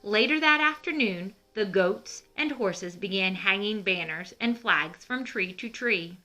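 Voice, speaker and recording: A woman's voice: mid-range voice, expressive, unhurried, pushed and bright, faint music behind. A woman reading a children's storybook aloud, explaining things simply as the host.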